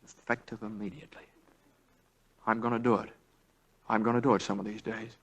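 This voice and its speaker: An American actor playing a meek, flustered young lawyer and husband who stammers hesitantly in a 1940s radio drama. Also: male voice, lowish voice, level tone, fairly quick. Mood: self-doubting. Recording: vintage 1940s radio broadcast.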